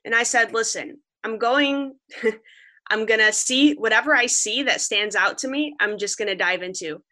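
Young woman guest, a reporter from upstate New York; earnest and vivid, a bright, forceful voice. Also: fairly high pitch, normal speed. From Western New York. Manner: earnest, vivid storytelling, quoting others. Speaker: freelance journalist guest. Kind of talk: podcast interview, storytelling.

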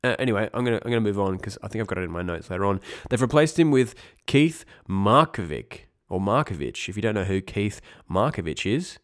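Young male podcast host, very deep voice, nasal from a cold, loose rambling delivery with wry self-corrections. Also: sing-song, a little fast, few pauses. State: congested with a cold. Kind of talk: casual esports news podcast.

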